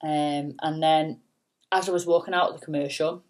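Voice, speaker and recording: A woman and singer with a soft, low voice, rambling casually in a late-night vlog with lots of fillers and the odd swear word. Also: steady tone, normal speed. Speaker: singer and vlogger.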